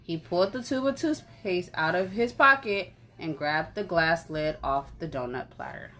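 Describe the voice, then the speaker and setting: A woman reading a story aloud in an animated, conversational way, as if for children. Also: on the low side, moderate pace. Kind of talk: expressive children's story narration.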